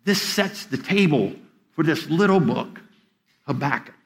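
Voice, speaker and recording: Earnest high-voiced man preaching a sermon. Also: expressive, measured pace. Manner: earnest, repeats words when starting sentences. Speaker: pastor.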